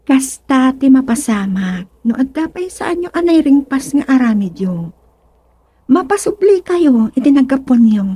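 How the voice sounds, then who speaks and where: A knowing female envoy of the afterlife in a comic radio serial. She is calm and teasing, speaking very slowly and deliberately. Her voice is high, soft-toned and husky. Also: dry recording, heavily acted. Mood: explaining. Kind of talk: comedic radio drama acting.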